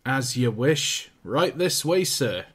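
A man voicing a gruff, blunt scrapyard foreman. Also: mid-range voice, sing-song, slow pace, fairly bright tone, heavily acted. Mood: offhand blunt. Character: blunt working-class male scrapyard foreman.

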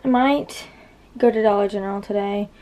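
A young woman vlogging to camera in her small apartment, chatting in a relaxed way with lots of fillers. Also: mid-range voice, normal speed, background noise. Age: young adult. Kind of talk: casual vlog chat to camera.

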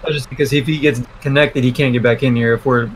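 A man's voice: medium pitch, fairly even intonation, quick pace, a crowd in the background, rough recording. A man chatting casually as a game streamer, swearing freely. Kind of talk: casual chat.

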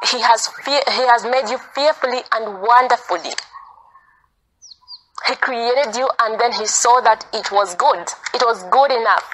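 A woman's voice: on the high side, a bit bright, slight background noise, poor audio. A woman giving an informal, insistent sermon, repeating phrases for emphasis. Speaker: preacher. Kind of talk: informal sermon, earnest exhortation.

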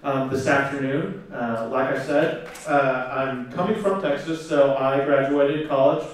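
A man's voice: medium pitch, fairly even intonation, recorded from a distance. A man in his twenties giving a personal testimony on stage, speaking earnestly with frequent fillers into a handheld mic. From South Korea, raised in Oklahoma. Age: 20s. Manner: earnest, many fillers and pauses. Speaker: conference speaker. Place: large hall on stage. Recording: echoey hall, recorded from afar.

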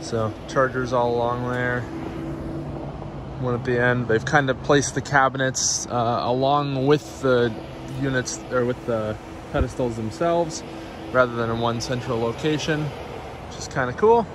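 A man's voice: mid-range voice, fairly even intonation, unhurried. Young American man, noisy on-location vlog. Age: young adult. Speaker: vlogger. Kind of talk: casual road-trip vlog narration. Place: in a car and outdoor parking lots. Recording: noisy car and outdoor ambience.

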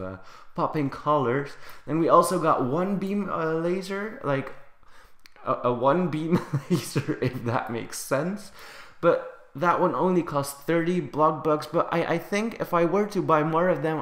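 A man casually commenting on a building game update, chatty and excitable, his voice slightly raspy from the early hour. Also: mid-range voice, echoey room. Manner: excitable, chatty, laughs often. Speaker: gaming YouTuber.

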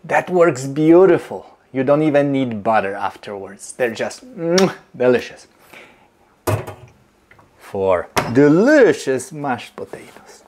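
A middle-aged man, teaching slowly and deliberately while he demonstrates in a home kitchen. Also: medium pitch, very animated, a little mellow, slight background noise. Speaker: movement teacher. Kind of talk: instructional demonstration.

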